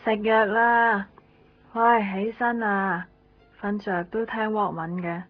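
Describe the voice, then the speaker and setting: Radio drama nurse female actor: brisk, firm woman, medium pitch, narrow phone-like broadcast sound. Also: steady tone, soft background music, close to an everyday voice. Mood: firm, scolding. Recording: tinny band-limited 1990s radio.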